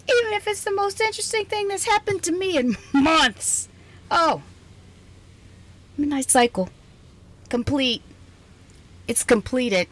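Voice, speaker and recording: A woman playing an exhausted, prickly scientist with a soft side in an intimate ASMR roleplay, halting and teasing, often slipping into a whisper. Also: high-pitched, lively intonation. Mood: vulnerable, defensive, then hesitant, tender. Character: exhausted, prickly, passionate female scientist, secretly softening toward intruder. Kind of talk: ASMR roleplay, intimate one-sided dialogue.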